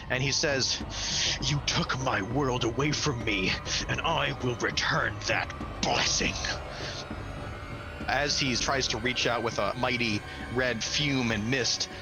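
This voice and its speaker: Guy game master, normal pitch, theatrical fantasy narration, encouraging, music going behind. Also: background noise. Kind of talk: dramatic tabletop game narration.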